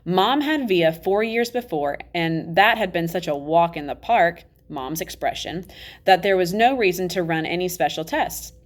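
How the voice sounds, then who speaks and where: A matter-of-fact ten-year-old boy tells his own storybook tale , read by a woman with a slightly low voice. The delivery is candid and confiding, like plain conversation. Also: lively intonation, moderate pace, natural voice. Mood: fond, amused. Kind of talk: children's novel read-aloud.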